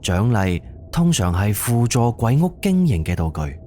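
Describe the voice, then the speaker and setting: A man's voice: lowish voice, sing-song, measured pace, warm tone, slight background noise. A man with a Cantonese accent narrating a horror mystery audio drama in a dramatic storytelling style.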